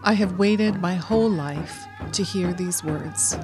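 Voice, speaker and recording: An older woman speaks very slowly and softly, in a low, heartfelt voice. Her words come unhurried. Instrumental music plays clearly behind her. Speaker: voice actor reading an elder's response. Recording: soft voice-over over clear music.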